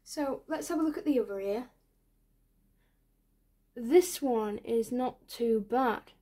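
A woman in her twenties speaking softly in an ASMR medical roleplay as an ear doctor, hesitant and reassuring. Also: on the high side, slow pace. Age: 20s. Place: small bedroom, indoors.

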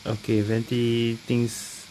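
A woman's voice: very deep voice, completely flat delivery, takes it slowly, over a noisy crowd, poor audio. A woman giving casual gameplay commentary in short reactions, sometimes reading game choices aloud. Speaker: gaming video creator. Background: game music.

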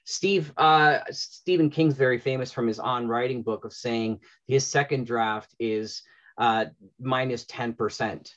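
A man's voice: mid-range voice, a little slow, fairly bright tone, muffled recording. A middle-aged man hosting a conversational panel interview over a video call. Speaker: panel host. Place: indoor room at home, video call.

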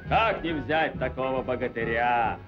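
A man voicing a hearty, commanding naval officer in an animated cartoon, with exclamatory military delivery. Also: a little slow, close to an everyday voice. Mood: admiring. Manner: booming, exclamatory military orders. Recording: muffled old film soundtrack with music.

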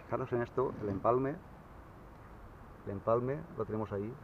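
A man explaining kayak sailing in a relaxed, casual way, with fillers, speaking from a kayak at sea into an action camera. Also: medium pitch, moderate pace. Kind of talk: casual how-to explanation on the water. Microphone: action camera mounted on the kayak. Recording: dull lo-fi camera audio, faint wind.

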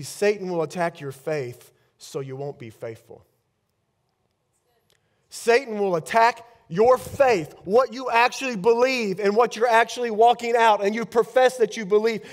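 Man, 30s, pastor, high voice, animated sermon, loud to hushed, headset mic, church stage.

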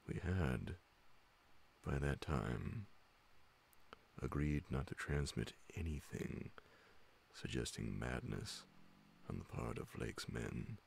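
A middle-aged man with an extremely low voice full of heavy vocal fry reads a story in ASMR audiobook style. He goes slowly, with frequent pauses and a hushed delivery into a studio mic. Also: lively intonation, slight background noise. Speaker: narrator reading classic horror stories. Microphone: large studio condenser microphone close beside him, headphones on.

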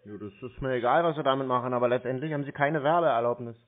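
A man's voice: medium pitch, fast. A man arguing on the phone in a blunt, insistent, lecturing way. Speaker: scam-baiter taking a cold call. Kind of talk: confrontational phone conversation. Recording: thin, band-limited phone-line sound.

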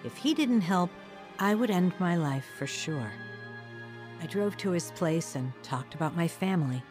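Earnest, confiding woman narrating her past: lowish voice, music underneath. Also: lively intonation, measured pace. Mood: reflective. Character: earnest woman recounting her troubled youth. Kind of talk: first-person audio drama narration.

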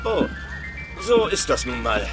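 A cheerful working-class husband in a dubbed sitcom, boastful and excitable. His voice sits a bit high and swings widely in pitch, over loud music and street sounds. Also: a little slow. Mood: cheerful, breezy. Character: cheerful, cocky courier husband turned ice-cream man. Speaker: sitcom character.

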